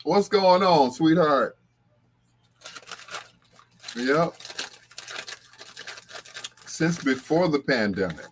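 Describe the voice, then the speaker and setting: Unhurried man chatting casually on a livestream. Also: a little high, sing-song, a little hiss or hum. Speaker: livestream host. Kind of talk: casual livestream chat, greeting viewers.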